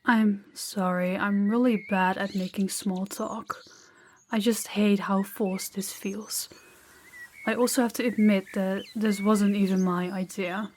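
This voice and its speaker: A woman playing a proud, guarded young princess in an intimate audio roleplay, speaking in a measured, formal, slightly awkward way to the listener. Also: medium pitch, fairly even intonation, darkish tone, a little hiss or hum, lightly acted. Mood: resigned, confiding.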